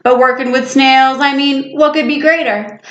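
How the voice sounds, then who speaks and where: A woman voicing an excitable, boastful schoolboy with big dreams in a storybook, eager and grandiose as if making a speech. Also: sits high, normal speed. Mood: eager, excited. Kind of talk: storybook read-aloud. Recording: echoey room, slightly muffled sound.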